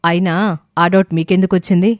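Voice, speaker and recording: A woman's voice: lowish voice, level tone, quick pace, no room sound, lightly acted. Woman voicing an uneasy, guarded lady doctor. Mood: evasive. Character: female doctor, evasive, uneasy, guarded professional.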